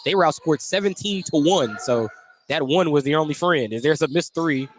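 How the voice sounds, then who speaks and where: An American man doing live play-by-play basketball radio commentary, animated on big plays and conversational in between. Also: fairly high pitch, moderate pace. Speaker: play-by-play sports announcer. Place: indoor basketball gym during a game. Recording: clearly noisy gym background.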